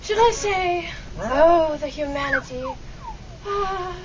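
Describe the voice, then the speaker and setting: A woman's voice: very high voice, slow pace, dull audio, noisy background. A woman playing a live news reporter in an old-time radio comedy. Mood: flustered reporting. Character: female radio news reporter covering airship launch live.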